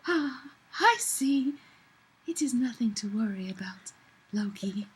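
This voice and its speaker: Woman reader as soothing female elf; high, slow, measured, reassuring. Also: animated, husky, exaggerated voice, poor audio. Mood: calm reassurance. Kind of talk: dramatic fan fiction reading.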